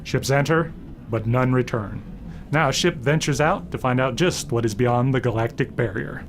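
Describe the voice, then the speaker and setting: A man's voice: mid-range voice, normal speed. A man hosting with a scripted, dramatic introduction to a radio drama. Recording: voice over clear music.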